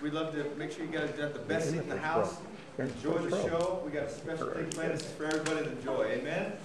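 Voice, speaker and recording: A man's voice: fairly high pitch, quick pace, recorded from a distance, over a noisy crowd. A man hosting a live children's event, making casual announcements to the audience. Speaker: host introducing a children's show. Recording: very echoey noisy hall, off-mic.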